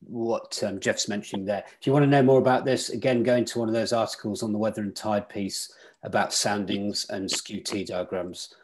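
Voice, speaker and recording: A man's voice: lowish voice, darkish tone, slight background noise. A middle-aged man hosting a casual club video call on weather and tides, thinking aloud with fillers and restarts. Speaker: host presenting weather and tides. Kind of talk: casual online club talk.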